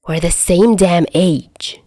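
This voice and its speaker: A woman's voice: on the low side, slow, dark tone, dry recording. A woman voicing a hot-tempered teenage boy mobster in a story, with a grumbling, blunt, snappish delivery. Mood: irritated.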